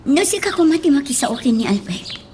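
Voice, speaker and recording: A woman's voice: high-pitched, sing-song, fairly quick, a little mellow, a little hiss or hum. An actress playing a young daughter in a radio drama, arguing with her father about love. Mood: hurt, defiant.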